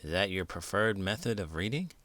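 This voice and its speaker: A man's voice: low voice, lively intonation, fairly quick, fairly bright tone, dry recording, clean studio sound. A man hosting a children's storybook read-aloud, theatrical and full of big emotion, stretching words for effect. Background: music.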